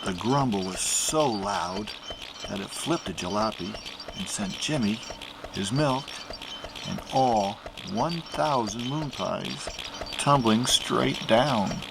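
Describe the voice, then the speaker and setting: A man narrating a children's picture book aloud in an animated storytelling manner. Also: lowish voice, slow pace, creaky, noisy background.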